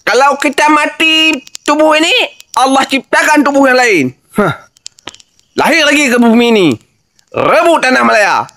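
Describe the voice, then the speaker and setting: Fiery, very high-voiced man rallying listeners. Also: pushed and bright, dry recording. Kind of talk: fiery speech in a clip.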